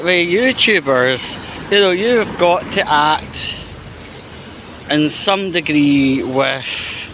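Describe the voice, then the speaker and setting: A man giving casual opinion commentary in a halting, rambling, disapproving way. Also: fairly high pitch, noisy background. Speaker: commentary YouTuber.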